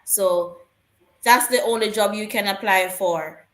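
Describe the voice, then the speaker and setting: A woman's voice: medium pitch, unhurried, a bit bright. A woman co-hosting a casual live stream Q&A, explaining rules point by point and repeating key phrases for emphasis. Her voice sits in the middle range, and a noticeable room echo hangs on every word. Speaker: live stream co-host answering teacher questions.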